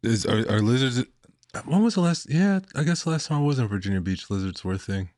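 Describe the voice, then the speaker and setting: A man's voice: mid-range voice, lively intonation, fast, a little mellow, no echo, clean studio sound. An American man from Florida chatting casually as a podcast co-host, colloquial and joking. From Florida, United States. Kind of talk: casual chat.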